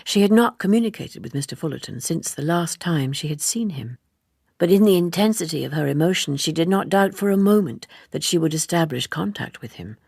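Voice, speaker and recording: Low, creaky-voiced woman reading a story. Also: expressive, a little fast, a little mellow, dry recording, clean studio sound. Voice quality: husky, creaky. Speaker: audiobook reader. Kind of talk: scripted literary narration for radio.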